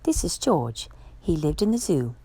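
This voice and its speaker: Woman, storytime reader, lowish pitch, creaky edges, expressive children's read-aloud, dry close sound. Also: no echo. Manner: expressive, measured storytelling delivery.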